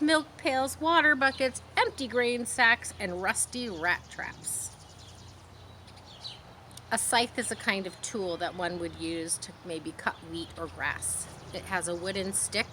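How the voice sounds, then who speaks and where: A woman reading a children's story aloud, pausing to explain things simply as if to young listeners. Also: medium pitch, pushed and bright, slight background noise. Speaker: storybook reader.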